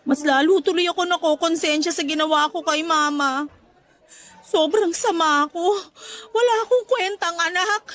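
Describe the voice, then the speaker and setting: High-pitched young woman in radio drama. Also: normal speed, a bit bright. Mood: guilty, upset. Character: remorseful young daughter, teasing with her suitor. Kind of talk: Tagalog radio drama acting. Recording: music behind the voice.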